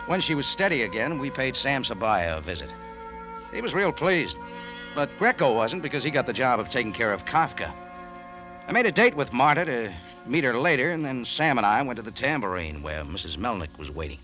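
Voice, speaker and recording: An actor playing a tough, wisecracking American cafe owner in a 1940s radio detective drama, terse and wry. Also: male voice, mid-range voice, big pitch swings, fairly quick, natural voice, poor audio. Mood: wry narrating. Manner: hard-boiled, terse, wry. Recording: vintage radio recording with music.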